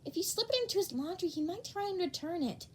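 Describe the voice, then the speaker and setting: A woman's voice: very high voice, expressive, quick pace, slight background noise, voice pushed up. A woman voicing a cheery, scheming teenage schoolgirl with an eager, chirpy manner in a fan story reading.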